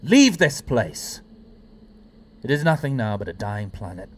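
An actor playing a time-travelling alien adventurer in an audio drama, stern yet playful and awkward. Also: male voice, medium pitch, big pitch swings, unhurried. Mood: stern, grave.

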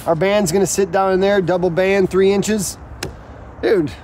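A man's voice: high voice, fairly even intonation, unhurried, a little mellow. A middle-aged man explaining construction steps casually, with slangy, joking banter, outdoors on a building site. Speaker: builder and video co-host. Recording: noisy outdoor site sound.

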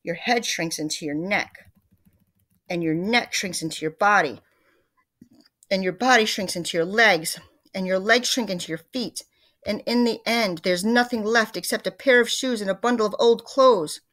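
A woman voicing a nasty trickster husband from a children's storybook, taunting loudly and emphatically with gleeful menace and mock concern. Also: medium pitch, moderate pace, close to an everyday voice. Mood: ominous taunting. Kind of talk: acted children's storybook reading.

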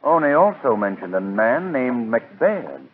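Suspicious gentleman adventurer, direct, polite, varied intonation, 1940s radio acting, narrow tinny sound. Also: medium pitch, very animated, a little slow, slight background noise. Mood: challenging.

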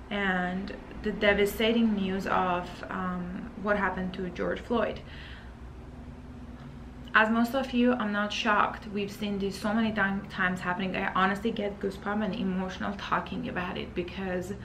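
Female lifestyle vlogger, thirties, medium pitch, earnest and hesitant, emotional personal address, echoey room sound. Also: a bit bright. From Iran. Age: 30s. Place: indoors, living and dining room at home. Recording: roomy echo, faint background noise.

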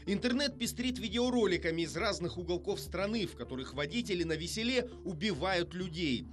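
Higher-voiced male news narrator. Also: expressive, fairly quick, music in the background. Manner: wry, ironic narration. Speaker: news reporter. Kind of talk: scripted news voice-over.

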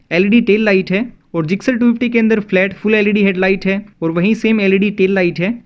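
An Indian man narrating a scripted video as a motovlog host, addressing viewers as friends in a dull, slightly lo-fi voice-over. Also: sits high.

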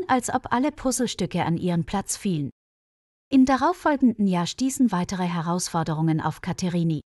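A woman's voice: medium pitch, darkish tone, dry recording, clean studio sound. A woman reading a scripted story for language learners, enunciating carefully. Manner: carefully enunciated, read-aloud delivery. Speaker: narrator.

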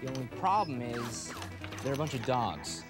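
Male actor doing crude cocky dude telling a story, casual film drama style, dramatic pauses, music in the background. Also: mid-range voice, big pitch swings, lightly acted. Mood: wry storytelling. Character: cocky wisecracking guy telling friends a grisly comic tale. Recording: music behind the voice.

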